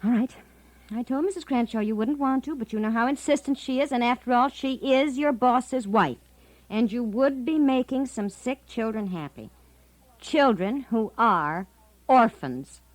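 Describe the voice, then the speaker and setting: A woman's voice: a little high, expressive, measured pace, poor audio. A woman with an American accent playing a coaxing, persistent middle-aged wife who fusses and cajoles, in the style of a 1960s radio comedy sketch. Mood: pleading, insistent. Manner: fussing, cajoling.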